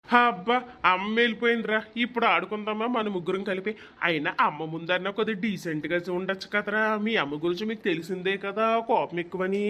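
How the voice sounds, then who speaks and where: Man acting a high-pitched, fast-talking Telugu cartoon dad. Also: sharp tone. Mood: conspiratorial, playful. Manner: complaining, teasing. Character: harried, grumbling playful father of two mischievous kids.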